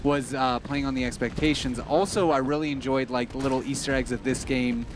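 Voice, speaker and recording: A man co-hosting a game stream, chatting in a rambling, halting way with many fillers. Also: mid-range voice, fairly even intonation, noisy background. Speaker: stream co-host. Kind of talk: casual gaming chat.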